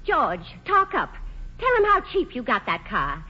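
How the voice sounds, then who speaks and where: A woman's voice: high voice, huge pitch swings, measured pace, pushed and bright, husky, heavily acted. A woman acting a plain, loyal working wife in a 1940s radio drama, homespun and emotional. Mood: dismayed, pleading. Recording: muffled, hissy old radio broadcast.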